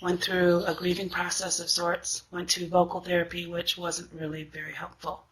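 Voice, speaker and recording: A woman who sings, speaking in a reflective personal monologue with a weak, raspy voice and a thoughtful, questioning manner. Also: fairly low pitch, completely flat delivery, normal speed, low-quality recording. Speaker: singer.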